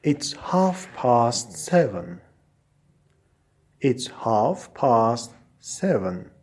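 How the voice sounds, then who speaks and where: Man, mid-pitched voice, very slow pace, animated intonation, English lesson, short repeated phrases. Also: breathy edge. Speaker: English teacher.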